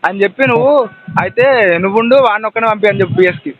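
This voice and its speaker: A man's voice: sits high, steady tone, rapid-fire. A man with a Telangana accent giving instructions in an informal, insistent phone chat. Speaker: prankster coaching his accomplice. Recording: dull lo-fi sound with faint noise.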